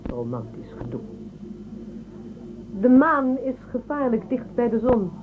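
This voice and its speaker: An actress playing a haughty, probing woman official of a space empire in a radio drama, condescending and measured. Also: fairly high pitch, big pitch swings, narrow, tinny audio. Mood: probing, skeptical. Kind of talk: Dutch radio drama acting. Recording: thin band-limited, noisy old radio recording.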